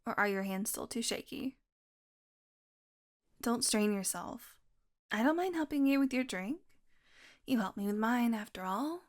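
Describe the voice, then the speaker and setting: Actress as young female vampire, slightly high pitch, playful, wry, curious, casual intimate audio roleplay. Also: expressive, measured pace, pauses often.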